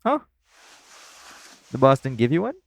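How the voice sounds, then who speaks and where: A man's voice: mid-range voice, sing-song, a little slow, gravelly. A man playing a flirtatious, easygoing nightclub coworker in a casual, teasing audio roleplay. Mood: casual, reassuring. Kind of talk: audio roleplay acting addressed to the listener.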